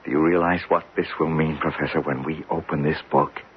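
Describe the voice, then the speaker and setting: An actor playing an eager geologist in a radio drama, with an urgent, insistent manner. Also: male voice, extremely low pitch, fairly even intonation, fairly quick. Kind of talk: old-time radio drama acting. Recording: noisy, dull old radio recording.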